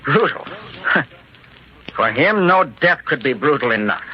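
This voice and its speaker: An actor playing a bitter widower out for revenge in a 1940s radio drama, theatrical and menacing. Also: male voice, medium pitch, sing-song, slow. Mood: bitter, anguished. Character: bitter vengeful widower hunting his wife's killers. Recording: dull, noisy 1940s radio recording.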